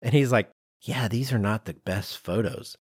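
A man hosting a podcast, explaining design history in an informal, conversational, animated storytelling way with acted-out asides. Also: fairly low pitch, normal speed, husky, no room sound, clean studio sound. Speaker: podcast host.

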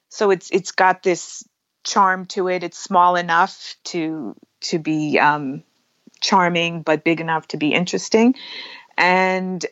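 Lowish-voiced woman, dry and slightly dull recording. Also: dry recording. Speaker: photographer and workshop teacher, interview guest. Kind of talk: casual interview chat.

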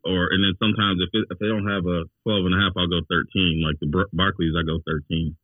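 A man with a low voice talks in a loose, casual podcast style, circling back to rephrase as he goes. The sound is dry and close, with a slightly muffled, lo-fi top end. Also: level tone, fast talker, no echo. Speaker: sneaker podcast co-host. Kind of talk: casual sneaker podcast chat.

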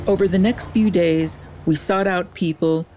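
A woman co-hosting a documentary who narrates and interviews in a steady, deliberate way. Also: fairly low pitch, faint music behind, poor audio. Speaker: documentary co-host.

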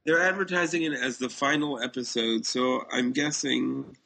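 A man's voice: medium pitch, moderate pace, vocal fry, pushed and bright, slightly rough, poor audio. Man, medium register, podcast co-host; informal chat, plainspoken and opinionated.

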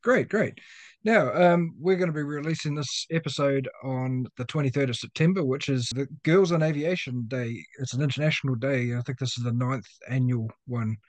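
A man's voice: medium pitch, moderate pace, no room sound. A man hosting a podcast and chatting casually as he interviews a guest.

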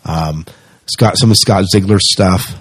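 Low-voiced man hosting a podcast, dry sound. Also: measured pace, husky, no echo. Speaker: podcast host. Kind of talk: casual podcast chat.

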